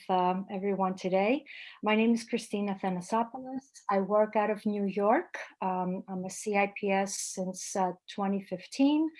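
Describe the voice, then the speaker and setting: Mid-pitched woman introducing herself online. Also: a little mellow. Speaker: realtor. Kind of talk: self-introduction in a meeting. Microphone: video call.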